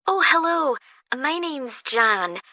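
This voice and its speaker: Bright-voiced, keen British newcomer. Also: female voice, high-pitched, expressive, slow pace, no echo, full character voice. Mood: keen, polite. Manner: polite, eager, inquiring. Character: keen, friendly female newcomer asking to volunteer. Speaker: actor in a listening test dialogue. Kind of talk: scripted everyday conversation, clearly enunciated.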